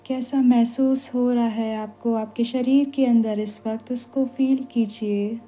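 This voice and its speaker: An Indian woman guides a meditation in a soft-toned, mellow voice that sits a little high. She gives soothing instructions very slowly, leaving long pauses between phrases. Music plays behind her. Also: fairly even intonation. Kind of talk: guided meditation in Hindi with some English phrases. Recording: voice over background music.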